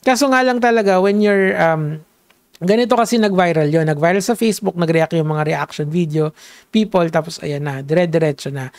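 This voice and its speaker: Male vlogger with a Filipino accent, high gravelly voice, dry close sound, rambling and lecturing as he switches between two languages. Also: fairly quick, no room sound. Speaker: online commentator. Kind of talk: casual reaction vlog commentary.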